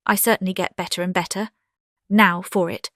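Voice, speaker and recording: A woman playing a confident, witty young gentlewoman in an audiobook drama, with a British accent and an expressive, self-assured delivery. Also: fairly low pitch, moderate pace, no room sound, studio quality. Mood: musing, resolute. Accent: British English. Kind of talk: dramatized classic novel audiobook.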